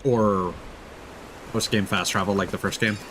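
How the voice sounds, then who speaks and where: A man's voice: fairly low pitch, steady tone, measured pace, a bit bright, slight background noise. A man giving casual livestream gameplay commentary, thinking aloud in a rambling, jokey way. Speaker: video game streamer. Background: game ambience.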